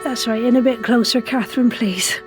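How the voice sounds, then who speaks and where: An actress playing a solemn woman who leads a spell ritual in an audio drama, giving careful, halting instructions over music. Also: on the high side, close to an everyday voice. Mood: careful, coaxing. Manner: hesitant, instructive, grave. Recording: music behind the voice.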